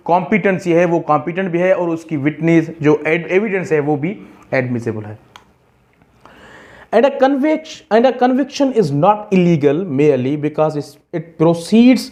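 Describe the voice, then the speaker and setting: A man with a Pakistani accent giving an explanatory law lecture, deliberate and repetitive, halting when reading out in English. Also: fairly high pitch, darkish tone. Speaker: law teacher. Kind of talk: explanatory lecture mixing Hindi-Urdu and English.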